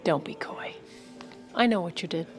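A woman plays a bossy, suspicious First Lady in an audio drama. Her voice sits a little low, brisk and sardonic, with music playing behind her. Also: natural voice. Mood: accusing. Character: sharp, suspicious, commanding First Lady investigating a scandal. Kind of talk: audio fiction comedy drama acting.

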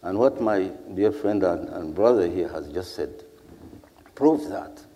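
Deep-voiced man speaking in a hall. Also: expressive, a little slow, warm tone. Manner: earnest, rhetorical, repeats phrases in lists. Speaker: panelist. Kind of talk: formal panel speech. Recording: hall with long reverb.

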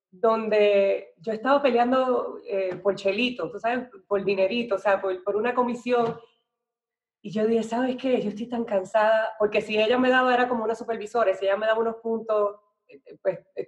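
A woman's voice: mid-range voice, muffled recording. A middle-aged woman with a Puerto Rican accent telling her story conversationally as a podcast guest, chatty and mixing in English business terms. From Puerto Rico. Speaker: podcast guest, real estate and banking professional.

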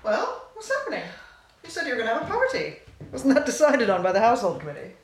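A woman's voice: on the high side, huge pitch swings, quick pace. Woman acting a restless partner, swooping voice. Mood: puzzled, indignant. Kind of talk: audio drama acting. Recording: very echoey, distant, clearly noisy.

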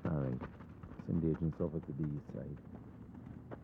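A man's voice: a bass voice, fairly even intonation, a little slow, creaky, heavily acted. A man playing a curt, commanding agency boss in an audio drama, clipped and authoritative. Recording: muffled, noisy, distant outdoor ambience.

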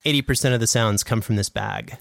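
A man co-hosting a podcast, explaining casually and a bit haltingly, as if thinking aloud. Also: on the low side, vocal fry. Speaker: podcast host and sound designer.

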